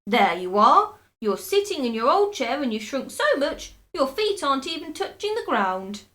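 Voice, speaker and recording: A woman playing a gloating, spiteful old husband in a children's storybook, taunting with drawn-out mock solemnity. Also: high voice, very animated, a bit bright, voice pushed up. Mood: accusing.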